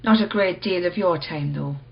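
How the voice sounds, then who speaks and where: A self-possessed woman gallery owner in a radio drama, played by an actress, talks in a lowish, measured voice. She is reserved and understated, quietly probing. The sound is a little dull. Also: vocal fry. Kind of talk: radio play acting.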